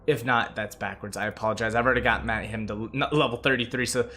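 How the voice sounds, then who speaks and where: A young man covering a game update in a casual way, hoarse and out of breath, with frequent filler sounds and restarts. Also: on the low side, fairly even intonation, quick pace, pushed and bright. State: losing his voice, out of breath. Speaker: gaming video host.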